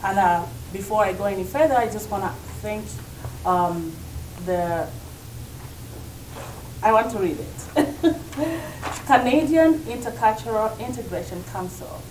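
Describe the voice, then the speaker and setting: A woman's voice: medium pitch, a little slow, pauses often, darkish tone. A woman from Zambia giving an informal, hesitant welcome speech to guests at a community event. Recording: echoey, distant mic, noisy room.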